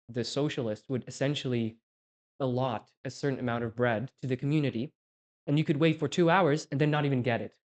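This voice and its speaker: A man in his twenties from Poland, co-hosting a podcast and being interviewed, talking in a reflective way and thinking aloud with frequent restarts. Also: mid-range voice. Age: 20s. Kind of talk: casual podcast conversation.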